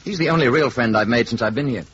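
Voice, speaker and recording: An actor playing a calm, courteous alien man passing as a mild lodger in a 1950s radio play. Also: mid-range voice, natural voice. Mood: polite, friendly. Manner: polite, measured wording, gently assured. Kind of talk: 1950s radio drama acting. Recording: dull, noisy old radio recording.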